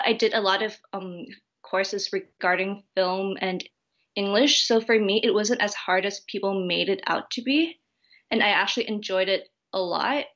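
A young woman from Thailand, a university student, answering interview questions in a polite, relaxed and friendly way. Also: mid-range voice, lo-fi sound. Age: young adult. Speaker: guest student.

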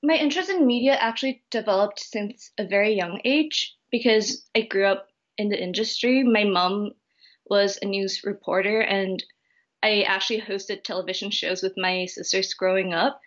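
A young woman from Thailand, a university student, answering interview questions in a polite, relaxed and friendly way. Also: medium pitch, normal speed, highs cut off. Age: young adult. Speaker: guest student.

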